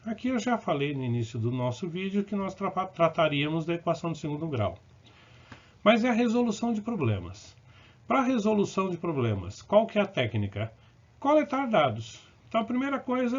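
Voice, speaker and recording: A man teaching math, explaining step by step and correcting himself as he goes, with short check-ins to the viewer. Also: a little high. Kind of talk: recorded lesson working through problems. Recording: dull, lo-fi recording.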